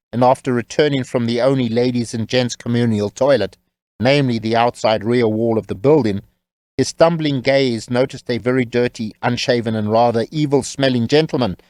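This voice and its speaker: A man's voice: medium pitch, moderate pace, a little mellow, dry recording. A man narrating a scripted comic tale as a wry, tongue-in-cheek storyteller.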